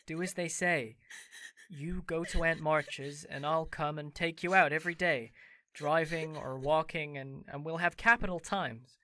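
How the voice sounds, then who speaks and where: A man's voice: a little high, fairly even intonation, unhurried, bright, forceful tone, no echo. A man playing a charming teasing young man. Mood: coaxing. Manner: coaxing, playful. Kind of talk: amateur audio drama acting.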